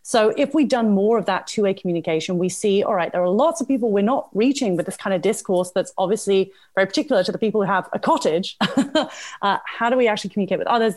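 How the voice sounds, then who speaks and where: A woman's voice: medium pitch, a little mellow. A woman with a British accent speaking conversationally as an expert guest in a panel discussion, explaining in an articulate way. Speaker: guest expert on public health communication.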